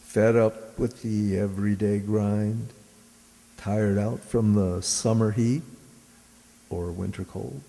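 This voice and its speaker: A man announcing an old-time radio thriller in a theatrical, ominous style with deliberate pauses. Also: fairly low pitch, steady tone, gravelly, mellow. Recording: echoey hall, live stage with music. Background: dramatic music.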